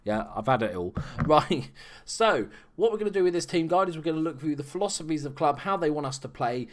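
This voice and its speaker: A man's voice: a little high, brisk. Chatty Scouse man talking through a game. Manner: chatty, relaxed, self-correcting. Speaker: video game guide host. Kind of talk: casual game commentary over footage.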